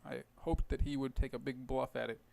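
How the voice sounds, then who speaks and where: A man with a tired, hoarse voice and some gravel in it talks through his poker hands. He explains his strategy casually, thinking aloud with plenty of fillers. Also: medium pitch, little pitch movement, a little fast, darkish tone, rough recording. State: hoarse, rough voice. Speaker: poker video host. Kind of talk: casual poker commentary over gameplay.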